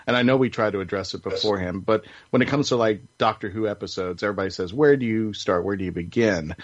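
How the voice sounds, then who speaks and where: A man with a fairly low voice hosts a casual podcast panel. He rambles along enthusiastically, close and dry, through slightly dull, lo-fi audio. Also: fast talker, no room sound. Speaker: podcast host.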